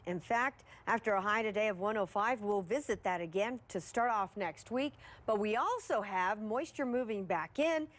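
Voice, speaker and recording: American woman, TV weather presenter, middle pitch, forecast delivery.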